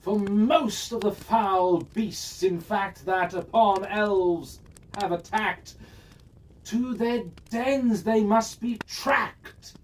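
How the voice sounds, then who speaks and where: A storyteller reading a rhyming fairy tale for children in a measured, sing-song cadence. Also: mid-range voice. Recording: distant mic, faint hiss.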